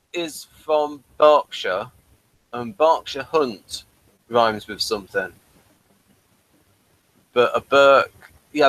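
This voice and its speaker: A man in casual, unscripted review talk, explaining things in a halting way. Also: on the high side, a bit bright, dry recording, poor audio.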